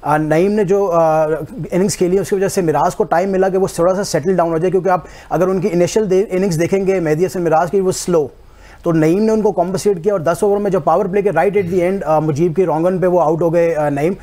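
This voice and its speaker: A man's voice: sits high, fairly even intonation, brisk, dark tone. A man giving cricket analysis in a TV studio, switching often into English and speaking emphatically. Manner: mixes English into Hindi-Urdu, emphatic.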